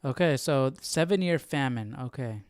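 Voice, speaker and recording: Clear-voiced man musing aloud, closely recorded. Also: medium pitch, expressive, moderate pace, dry recording. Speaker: Bible study livestream host. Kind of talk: casual spoken reactions while studying.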